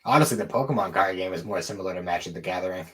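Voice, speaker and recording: A man's voice: sits low, rapid-fire, fairly bright tone. A man co-hosting a podcast, talking casually and offhandedly in an informal conversational way. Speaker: podcast co-host. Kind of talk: casual chat about anime and games.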